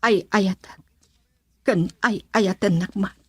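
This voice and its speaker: A woman's voice: medium pitch, slow, darkish tone, breathy edge, no room sound. A woman playing a headstrong, insistent young bride-to-be who defies her father in an Ilocano radio drama. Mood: defiant.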